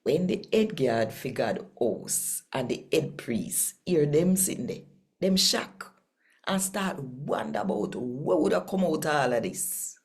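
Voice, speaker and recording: A Jamaican woman reads scripture in a low-pitched voice. She goes slowly and deliberately, shaping each phrase like a storyteller with an expressive, dramatic delivery. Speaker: host and scripture reader. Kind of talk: expressive scripture reading and video narration.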